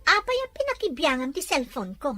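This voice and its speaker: A woman's voice: high voice, big pitch swings, fairly quick, a bit bright, soft background music. A woman playing a defiant, plaintive young woman in a domestic drama. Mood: defensive. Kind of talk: Ilocano drama acting.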